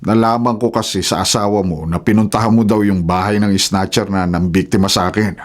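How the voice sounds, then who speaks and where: A man's voice: fairly low pitch, steady tone, brisk, natural voice. A man playing a stern, vengeful older father in a Filipino radio drama, firm and moralizing.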